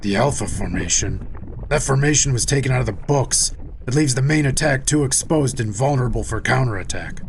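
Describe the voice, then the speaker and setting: An actor playing a blunt, tough second-in-command soldier in an audio drama, stern and challenging, with a gravelly voice. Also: male voice, medium pitch, lively intonation, a little fast, slight background noise. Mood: wary.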